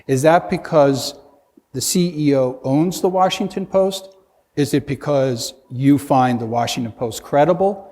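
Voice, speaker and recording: A man's voice: medium pitch, unhurried, a little mellow. Man arguing on a panel in a reverberant hall. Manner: earnest, argues with rhetorical questions and restarts. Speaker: conference panelist. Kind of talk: panel discussion. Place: conference hall.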